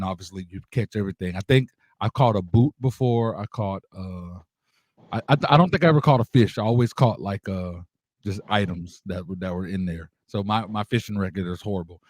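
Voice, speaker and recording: Casual male radio interviewer, lowish dry voice. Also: darkish tone, no room sound. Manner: informal, slangy, encouraging. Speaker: radio show host interviewing a guest.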